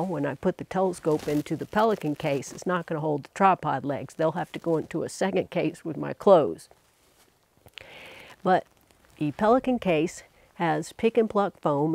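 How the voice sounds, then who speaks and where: A woman in her sixties talking to camera in a casual, unhurried, plain-spoken explanatory vlog style with dry humor. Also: low-pitched, a little mellow. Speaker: vlogger, amateur astronomer.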